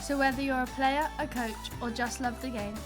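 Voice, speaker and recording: A young woman co-hosting a podcast, reading intro lines and asking careful, slightly halting questions. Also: sits high, fairly even intonation, music in the background. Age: young adult. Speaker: podcast co-host. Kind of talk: scripted intro and interview questions.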